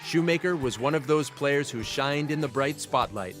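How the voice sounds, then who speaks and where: A man reading a scripted tribute narration in a measured, formal way. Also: a little high, fairly bright tone. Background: music.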